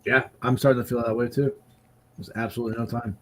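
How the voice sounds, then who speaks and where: A man in his twenties hosting a casual chat, excitable and quick, swearing freely. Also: medium pitch, a little hiss or hum. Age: 20s. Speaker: podcast host.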